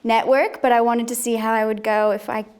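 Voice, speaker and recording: Woman, thirties, slightly high pitch, scientist, casual interview answers. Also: flat delivery, fairly quick, darkish tone, clear, resonant tone. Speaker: scientist, panel guest.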